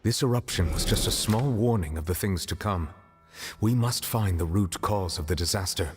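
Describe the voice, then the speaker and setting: An actor playing a solemn, composed man of authority in a game, explaining things formally and with measured care. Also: low-pitched, music in the background, lightly acted. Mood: measured, correcting. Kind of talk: game story dialogue, formal exposition.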